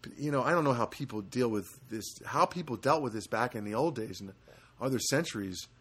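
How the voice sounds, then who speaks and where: A man hosting a casual solo podcast from a studio apartment, rambling and halting with many fillers. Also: mid-range voice, animated, fairly bright tone, husky. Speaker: podcast host.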